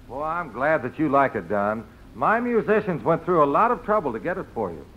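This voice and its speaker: A man's voice: fairly high pitch, sing-song, fairly quick. A man playing an unruffled bandleader who makes wisecracking excuses in a 1950s radio comedy. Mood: breezy explaining. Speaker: bandleader on the show. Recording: muffled, noisy 1950s radio recording.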